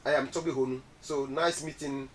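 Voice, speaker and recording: A man's voice: mid-range voice, steady tone, brisk, a little hiss or hum. A man hosting a casual studio interview, welcoming his guest and echoing answers back as questions. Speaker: interviewer and cultural festival host. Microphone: studio microphone.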